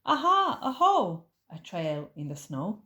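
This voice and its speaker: A woman voicing the brave, curious young child of a storybook monster, calling out with high excitement. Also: slow pace, heavily acted. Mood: excited.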